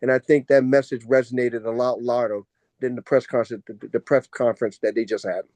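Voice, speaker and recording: An American man speaks at a board meeting in a relaxed, mid-pitched voice. His delivery is measured and formal, with points firmly emphasized, and the sound is dry. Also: dry recording. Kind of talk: meeting remarks.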